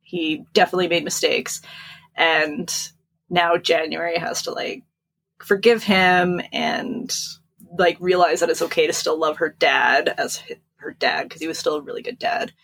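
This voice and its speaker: A woman's voice: deep voice, fairly even intonation, moderate pace, gravelly, fairly bright tone. A woman co-hosting a podcast, chatting casually about books in an informal, joking, slangy way. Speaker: podcast co-host. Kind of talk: casual book discussion chat.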